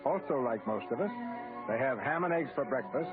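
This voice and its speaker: A man's voice: mid-range voice, lively intonation, a little fast, narrow, tinny audio. An American man narrating a radio play from a script in an old-time broadcast style. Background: music.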